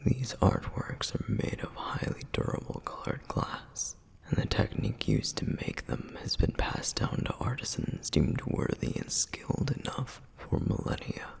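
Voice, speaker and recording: A man voicing a protective, reverent flame demon in an ASMR roleplay, speaking in a breathy, husky whisper with measured, gentle explanations and frequent pauses. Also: medium pitch, wildly swooping pitch, heavily acted. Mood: reverent, grateful. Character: male flame demon, protective, reverent, softly flirtatious lore guide. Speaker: audio roleplay performer. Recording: long reverberant hall echo.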